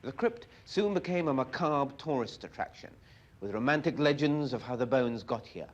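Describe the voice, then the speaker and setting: A man's voice: medium pitch, animated, normal speed, dull audio. An English man with clipped 1960s broadcast diction, working as a television reporter, narrating and interviewing. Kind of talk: scripted documentary narration and on-location interviewing. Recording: archive 1960s newsreel sound.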